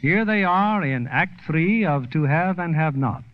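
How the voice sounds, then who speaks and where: A man with an American accent hosts a scripted 1940s radio show. His voice sits a little high for a man. The old recording is muffled and lo-fi. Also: lively intonation, a little slow.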